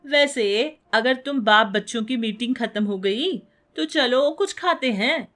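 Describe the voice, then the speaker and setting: A woman's voice: a little high, expressive, measured pace, fairly bright tone, faint music behind. A woman voicing an understanding mother who explains things reassuringly to her child. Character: gentle, understanding mother of a schoolboy.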